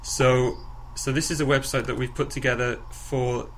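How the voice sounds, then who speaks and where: A man informally presenting to a group on a conference call, haltingly and with repeated words and phrases. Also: medium pitch, flat delivery. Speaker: project coordinator leading a group call. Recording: clearly noisy conference call recording.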